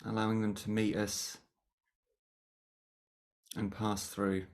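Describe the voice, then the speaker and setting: A meditation teacher guides a practice at a middling pitch. The delivery is gentle and unhurried, and short phrases are separated by long pauses. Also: monotone.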